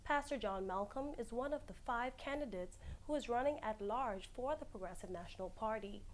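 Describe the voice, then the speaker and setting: A woman's voice: on the high side, fairly quick, slight background noise. A woman hosting a television interview show, reading a scripted introduction and asking questions in a formal presenter style. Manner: formal, clear presenter delivery.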